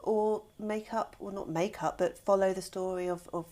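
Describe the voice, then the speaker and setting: A woman's voice: mid-range voice, fairly even intonation, normal speed, mellow. A British woman answering interview questions as a guest, informal and thinking aloud in loose, conversational phrases. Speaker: guest, children's author and scriptwriter.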